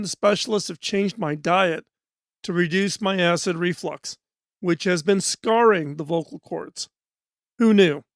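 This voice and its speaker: A middle-aged man hosting a podcast in a studio. He talks in an earnest, measured, scripted way, and his voice is hoarse. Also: sits high, no echo. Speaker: podcast host.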